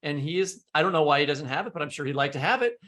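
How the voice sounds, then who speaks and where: A man's voice: on the high side, lively intonation, rapid-fire, sharp tone. A man co-hosting a podcast, speaking casually about politics in an emphatic, blunt way. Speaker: podcast co-host.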